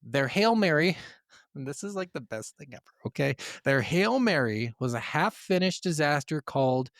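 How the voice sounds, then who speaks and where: A man with a slightly high voice hosts a gaming podcast. He speaks informally and loosely, as if chatting with a friend. The sound is very dry and close, with no room echo. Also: very animated, normal speed, fairly bright tone. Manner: informal, profane. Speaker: podcast host. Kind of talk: casual gaming podcast chat with some scripted narration.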